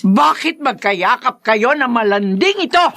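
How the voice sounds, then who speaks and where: An actress playing a stern, imperious middle-aged mistress of the house in a radio drama, commanding and suspicious. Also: female voice, fairly high pitch, lively intonation, a little slow, fairly bright tone, soft background music. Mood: shocked, angry. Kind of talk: Tagalog radio drama acting.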